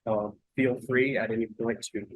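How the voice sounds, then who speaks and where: A young man talks viewers through a collage demo in a relaxed, rambling way, his voice nasal from a cold and a bit husky. The sound is dry and close, with no room around it. His pitch sits in an ordinary middle range. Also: moderate pace, no room sound, low-quality recording. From North Carolina. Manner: relaxed, chatty, with many fillers and restarts. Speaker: mixed-media artist giving a demo. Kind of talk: casual live-stream art demonstration. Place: home art studio, indoors. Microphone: earbuds.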